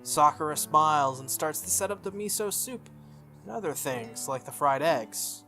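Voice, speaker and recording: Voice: mid-range voice, expressive, normal speed, bright, forceful tone. A video game playthrough host reading a visual novel aloud and breaking off for casual commentary. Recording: music under the voice. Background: game music.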